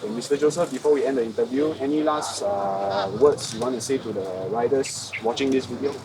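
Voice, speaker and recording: A man's voice: mid-range voice, a little fast, a crowd in the background. A man hosting a vlog, asking casual interview questions with some hesitation. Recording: far from the mic with loud background noise.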